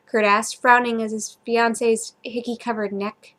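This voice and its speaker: A woman's voice: a little high, little pitch movement, a little slow, fairly bright tone. Informal woman reading a story aloud. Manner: informal, stumbles and comments on own slips. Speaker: amateur fan fiction reader. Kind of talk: story reading with character dialogue.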